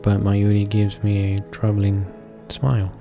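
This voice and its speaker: A man with a fairly low voice reads a visual novel aloud for a casual gaming video. His delivery is extremely flat and a little halting, as he trips on words and corrects himself. Music plays clearly behind his voice. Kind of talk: casual read-along narration with asides.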